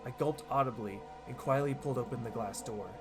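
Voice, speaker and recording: A man's voice: mid-range voice, brisk. Male streamer, mid-range voice, theatrical visual novel narration over prominent game music. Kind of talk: dramatic read-aloud of a visual novel. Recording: loud music behind the voice.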